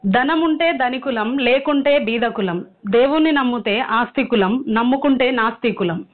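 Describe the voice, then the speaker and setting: A woman answering in a phone conversation with a brisk, assured manner and neatly measured phrasing. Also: a little high. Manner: brisk, assured, recites rhyming lists. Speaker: interviewee, teacher. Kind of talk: witty rhyming replies in a phone conversation. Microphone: phone line. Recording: tinny phone call recording.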